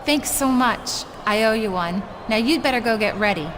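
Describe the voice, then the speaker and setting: A high-pitched young woman played by an actress as a wrestling manager in a video game, exasperated and earnest, quick to plead and quick to give orders. Heavy noise sits behind her voice. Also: natural voice. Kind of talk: video game cutscene acting.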